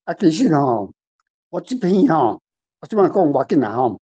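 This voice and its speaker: A man's voice: a little high, very animated, normal speed, mellow, breathy edge. Dull-sounding older Taiwanese man, plainspoken. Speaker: author guest answering questions. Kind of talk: unscripted literary discussion.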